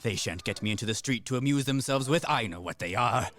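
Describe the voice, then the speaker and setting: A man acting in a radio play as a desperate fugitive soldier, brisk, commanding and sardonic. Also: mid-range voice, a bit bright, natural voice. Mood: wry, menacing. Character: fugitive Serbian soldier, cynical, desperate, coolly threatening. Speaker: actor in a student radio play.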